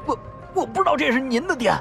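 Man acting a high, creaky, cringing lowlife. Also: animated, fast, over music, heavily acted. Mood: cringing fear. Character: cowardly sleazy lowlife thug, cringing and whiny. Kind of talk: Chinese audio drama acting.

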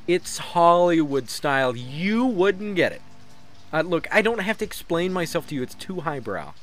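An actor playing a pompous, defensive would-be artist and bogus expert in a horror comedy audio drama. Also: male voice, on the high side, very animated, normal speed.

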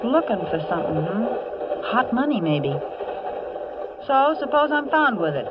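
Wisecracking American city dame, muffled noisy radio. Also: female voice, a little high, very animated, moderate pace. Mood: wry, wary. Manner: slangy, sardonic, tough-talking. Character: wisecracking, streetwise young city woman, hard-boiled and wary. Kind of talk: 1940s radio drama acting with first-person narration.

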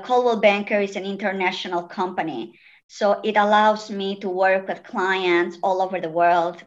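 A woman's voice: fairly low pitch, muffled recording. A middle-aged woman with an Italian accent, a real estate agent answering interview questions at length with frequent fillers. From Italy. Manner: talkative, many "uh" fillers, animated.

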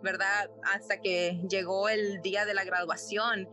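Bright-voiced young woman from Nicaragua. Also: medium pitch, flat delivery, a little slow, soft background music. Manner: earnest, uses many fillers and tag questions. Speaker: podcast guest. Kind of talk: personal story in casual chat.

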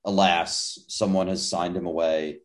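Young male podcast co-host, lowish voice, casual and dry. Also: level tone, a little slow. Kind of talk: casual sports podcast chat.

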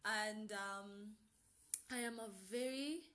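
A woman's voice: medium pitch, takes it slowly, pushed and bright. A young woman with a Kenyan accent hosting her own podcast, talking in a bubbly, hyped, chatty way and mixing in Swahili slang. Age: 20s. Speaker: podcast host. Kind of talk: casual solo podcast intro.

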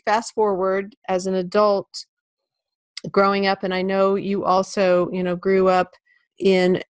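A soft-voiced woman hosting a podcast, gently asking probing interview questions. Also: medium pitch, monotone, a little slow, smooth, no room sound. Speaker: podcast host. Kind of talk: conversational interview.

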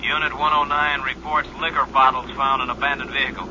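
Crackly, formal police radio dispatcher. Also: male voice, medium pitch, brisk. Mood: official. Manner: formal, flat official delivery. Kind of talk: 1950s radio drama, official dispatch calls. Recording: muffled, noisy shortwave-style vintage radio.

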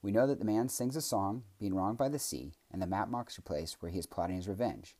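A man's voice: lowish voice, brisk, mellow, lightly acted. A man doing an earnest, wordy young tree girl. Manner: earnest, formal, wordy speech. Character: thoughtful young female tree.

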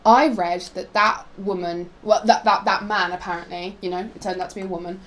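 A woman's voice: mid-range voice, steady tone, fairly quick, a bit bright. A young woman from Poland in a casual, opinionated discussion of current affairs, talking informally and rambling a little. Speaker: co-host. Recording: noticeable background noise.

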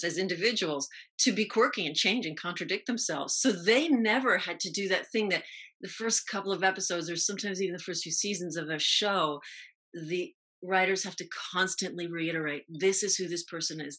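Bright, husky American woman, clipped phrasing. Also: lowish voice. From New York, United States. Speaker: guest, actress recalling TV roles. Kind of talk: reflective interview conversation.

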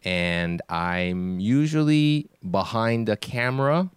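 A man in his twenties from Japan talks in a laid-back, hesitant way, often pausing on fillers. His very low voice is smooth and resonant, recorded close and dry. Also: no room sound. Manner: relaxed, hesitant, many fillers. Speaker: sound engineer filling in as co-host. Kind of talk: casual podcast chat.

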